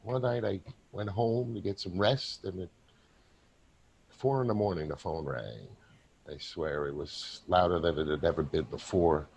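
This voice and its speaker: A man acting a husky, pleading dead salesman. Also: deep voice, highs cut off, exaggerated voice. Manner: earnest, halting, pleading. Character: desperate, pleading male ghost of a dead salesman. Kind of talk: staged play reading.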